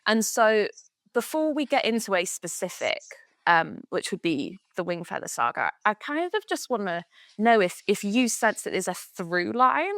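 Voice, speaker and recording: A woman's voice: mid-range voice, big pitch swings, moderate pace, fairly bright tone, dry recording, studio quality. A woman with a Welsh accent hosting a podcast interview, chatty and enthusiastic, laughing easily. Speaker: podcast host.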